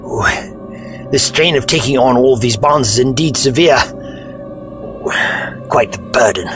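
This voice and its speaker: A man playing a frail, kindly old gentleman, weary and courteous, in an audio drama. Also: mid-range voice, expressive, slow, music in the background. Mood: strained, kindly. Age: older. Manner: doddery, courteous, sighing and groaning. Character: frail, weary, kindly old Doctor leaning on a walking stick.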